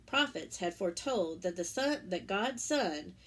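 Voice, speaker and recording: A woman reading a children's religious picture book aloud as a story-time teacher, pausing for simple explanatory asides to young listeners. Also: fairly low pitch, animated, fairly bright tone, husky.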